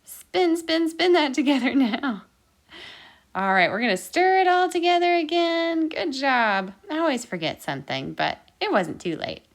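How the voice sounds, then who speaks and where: Lively, high-pitched woman leading children's storytime. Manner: encouraging, sing-song instructions with drawn-out, repeated words. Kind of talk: interactive children's baking lesson with counting and singing.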